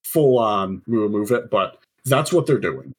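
A man's voice: on the low side, sing-song, unhurried, breathy edge. A man running a tabletop game, narrating casually and informally. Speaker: game master.

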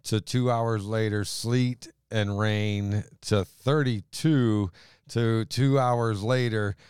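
Smooth-voiced man discussing scripture. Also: on the low side, fairly even intonation, slow, darkish tone, no room sound, studio quality. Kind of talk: casual religious discussion, citing scripture.

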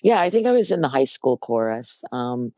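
An older American woman from New Jersey with a low, gravelly voice, talking through memories in a relaxed interview, often hesitating and laughing. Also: normal speed, no room sound, clean studio sound. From New Jersey, USA. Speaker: guest musician. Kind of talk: casual interview chat.

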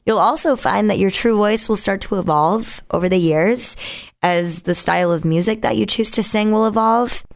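A woman with a naturally raspy voice, a singer being interviewed, talking casually in a candid, reflective way. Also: medium pitch, normal speed. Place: radio studio.

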